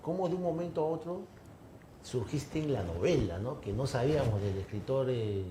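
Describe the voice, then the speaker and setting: Rambling interviewer in a roomy space. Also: male voice, medium pitch, animated, moderate pace, crowd noise. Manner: rambling questions with many tag questions. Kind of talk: conversational interview. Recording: roomy, with clear background noise.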